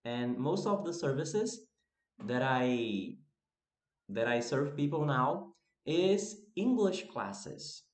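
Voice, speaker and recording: Slow, easygoing Brazilian man in a roomy space. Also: medium pitch. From northeast Brazil. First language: Portuguese. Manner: relaxed, restarts phrases, laughs at himself. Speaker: YouTuber and English teacher. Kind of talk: casual unscripted monologue to camera. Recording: echoey room.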